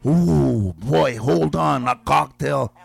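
Slow gravelly man hosting a call-in game. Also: mid-range voice, dry recording. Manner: drawn-out, repeats each guess slowly, chuckles. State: losing his voice. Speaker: radio game show host. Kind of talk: call-in guessing game on air.

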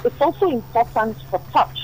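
A woman, a dermatologist guest giving measured, explanatory answers on a remote line. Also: on the high side, lively intonation, a little hiss or hum, low-quality recording. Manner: measured, explanatory, uses you know often.

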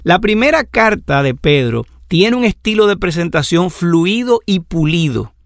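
A man teaching a Bible lesson in a measured, explanatory way, listing examples one after another. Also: high-pitched, lively intonation, gravelly, no room sound, studio quality. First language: Spanish. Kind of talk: lecture on scripture.

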